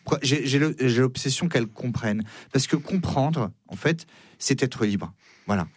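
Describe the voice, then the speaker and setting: Male radio guest, insistent debater in short bursts, medium pitch, no room sound. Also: animated, moderate pace, husky, no room sound. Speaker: studio guest. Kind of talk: radio debate, arguing a point.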